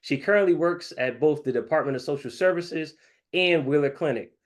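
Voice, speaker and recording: A man's voice: on the high side, a little fast. A man hosts an interview show with an upbeat, promotional manner. He talks up his guest and her event in a friendly way. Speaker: host.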